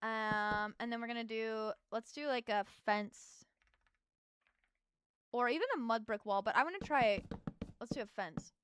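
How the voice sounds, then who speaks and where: A woman's voice: fairly high pitch, unhurried, long pauses, a bit bright, smooth. A young adult woman streaming as a VTuber, chatting casually with her chat while she builds in a game and thinks aloud.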